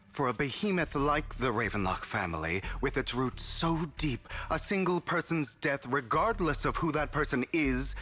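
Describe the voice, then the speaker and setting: A weary, resolute young man. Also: mid-range voice. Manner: measured, resolute, quietly tired. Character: weary, composed young man haunted by regret. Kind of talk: video game cutscene voice acting. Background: game music.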